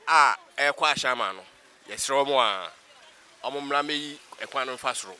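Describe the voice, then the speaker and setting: Very bright, forceful Ghanaian male driver. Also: medium pitch. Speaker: driver interviewed on the street. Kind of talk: street interview. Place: outdoors by a busy road.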